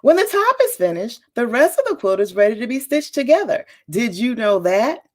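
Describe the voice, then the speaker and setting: A woman's voice: a little high, very animated, moderate pace. A woman voicing a proud, know-it-all young storybook girl who explains things in an emphatic, teacherly manner. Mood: proud, instructive. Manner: emphatic, teacherly, eager to show off.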